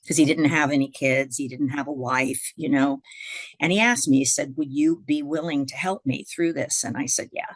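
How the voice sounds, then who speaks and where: Low-voiced woman rambling on about her career, lots of um and you know, dry close mic. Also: no room sound. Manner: chatty, rambling storytelling with many fillers. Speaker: jazz singer and songwriter, guest. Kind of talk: conversational interview.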